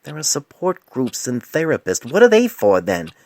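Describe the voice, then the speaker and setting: A man's voice: medium pitch, wildly swooping pitch, normal speed, darkish tone, dry recording, clean studio sound, pitched above the performer's own voice. A man voicing a young male pony resident doctor in a storybook reading, defensive, indignant and smart-aleck.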